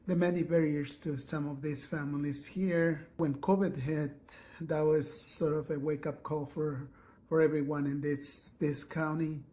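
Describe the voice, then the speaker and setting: A man from Ecuador speaking in a documentary interview, measured, with pauses and restarts. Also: fairly high pitch, darkish tone.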